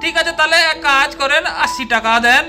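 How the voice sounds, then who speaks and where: A man's voice: very high-pitched, brisk, pushed and bright, full character voice. A man voicing an eager village camel driver in a doll story, haggling and reassuring, often repeating short phrases. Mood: haggling, pleading. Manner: repeats short calls and urgings. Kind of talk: amateur doll-story voice acting.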